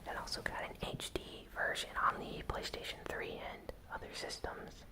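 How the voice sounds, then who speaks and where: A host whispering a gaming news recap in ASMR style, with soft, unhurried, deliberate phrasing.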